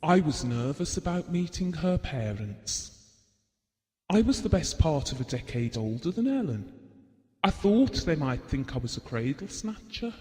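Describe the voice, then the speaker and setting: A devoted, possessive husband in an audio drama. His voice is soft-toned and on the high side for a man, with reflective, measured phrasing. It rings in a long hall-like reverb. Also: sing-song. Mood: earnest, defensive. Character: devoted, possessive husband Bill, gentlemanly and wistful.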